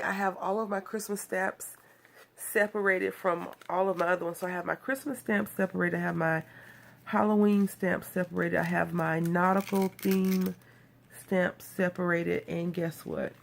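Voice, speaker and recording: A woman's voice: mid-range voice, creaky. A woman from Texas, nasal from a cold, chatting and rambling as she walks through making a craft card. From Texas, USA. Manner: chatty, rambling, self-deprecating. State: has a cold. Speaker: craft video host. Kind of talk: casual card-making tutorial.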